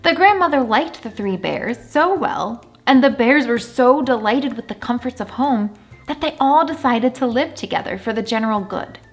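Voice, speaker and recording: A woman reading a children's storybook aloud in an animated, deliberate storytelling manner, drawing out words for emphasis. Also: fairly high pitch. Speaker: children's story reader and channel host.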